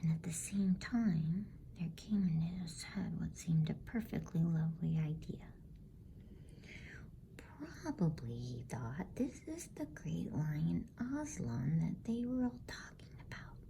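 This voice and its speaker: A woman reading a storybook as ASMR narration in a hushed, soothing, unhurried whisper close to the microphone. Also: deep voice, animated, gravelly, a little hiss or hum, low-quality recording. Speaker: bedtime story reader.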